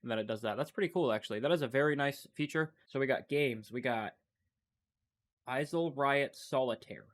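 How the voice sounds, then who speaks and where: A man's voice: medium pitch, brisk. Stuffy-nosed man chatting through a demo. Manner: thinking aloud, loose and unscripted. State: stuffy, congested from a cold. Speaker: tech channel host. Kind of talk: casual screen-recording commentary.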